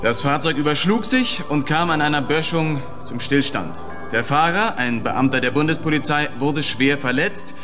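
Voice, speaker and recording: An actor playing a businesslike police official in a German radio drama, speaking in a measured, formal, evasive manner. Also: male voice, on the high side, lively intonation, music in the background, lightly acted. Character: guarded, businesslike male police spokesman and senior official.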